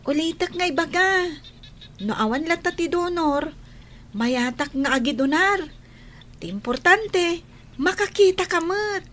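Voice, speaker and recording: A woman's voice: very high voice, expressive, unhurried, pauses often, a bit bright, a little hiss or hum, full character voice. A woman voicing a selfless young girl, a loving sister in an Ilocano radio drama, childlike and earnest. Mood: earnest, self-sacrificing.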